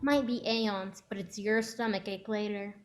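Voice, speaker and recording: A woman's voice: mid-range voice, steady tone, a little slow, a little hiss or hum, close to an everyday voice. A woman playing a teasing, knowing mother in a dramatic script reading. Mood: playful teasing.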